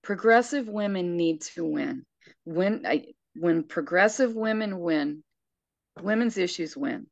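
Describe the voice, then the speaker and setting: A woman's voice: fairly low pitch, expressive, slow pace, creaky, dry recording, muffled recording. A sixty-year-old woman speaking earnestly and emphatically in casual conversation, often restarting her sentences. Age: 60s.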